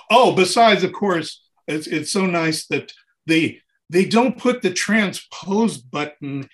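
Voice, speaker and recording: A man with a notably high voice speaks as a guest in an interview. His style is informal and animated, like a musician swapping tour stories. He acts out what people said and repeats phrases to drive them home. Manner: acts out dialogue and sounds while telling stories. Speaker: interview guest, touring keyboard player. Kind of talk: casual animated anecdote telling.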